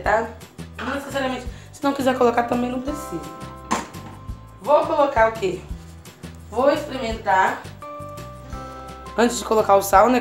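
A woman with a Brazilian Portuguese accent hosting a casual home cooking tutorial, chatting to her viewers as she cooks in her kitchen. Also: a little high. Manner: chatty, constantly checking in with the viewer. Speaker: cooking channel host.

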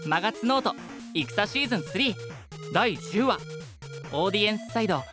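A polite youngster voiced by an actor reads a preview announcement. The delivery is bright and bouncy in pitch, over clear music. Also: expressive, fairly quick, close to an everyday voice. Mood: eager, polite. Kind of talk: preview narration in a voice drama.

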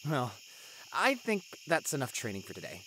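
Man playing an expressive, tender vampire boyfriend. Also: mid-range voice, slight background noise, low-quality recording. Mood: hopeful, chatty. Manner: tender, encouraging, earnest, halting when emotional. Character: devoted, gentle young male vampire boyfriend. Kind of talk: audio roleplay acting, intimate one-sided dialogue.

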